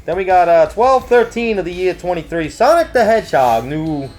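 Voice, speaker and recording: Animated, rambling comedic co-host. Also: mid-range voice, normal speed. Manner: rambling, jokey, goofy exclamations. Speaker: co-host of a game store news show. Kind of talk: casual comedic banter while reading event announcements.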